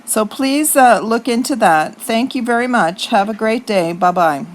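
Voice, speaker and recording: A woman from Texas with a slightly high voice leaves a phone message. She is polite and earnest, picking her way haltingly through a half-scripted plea. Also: lively intonation. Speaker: caller leaving a voicemail for a court.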